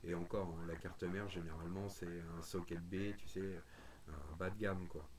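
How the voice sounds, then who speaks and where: A man's voice: low voice, fairly even intonation, normal speed, slight background noise. A man chatting casually and bluntly in informal, opinionated slang. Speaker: radio hobbyist chatting on a group call stream. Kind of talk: casual chat.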